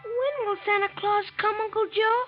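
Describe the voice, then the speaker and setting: A woman's voice: very high voice, fairly even intonation, moderate pace, soft background music. A woman playing an innocent, curious small boy in 1940s radio drama, with artless childlike questions. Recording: muffled, noisy old radio recording.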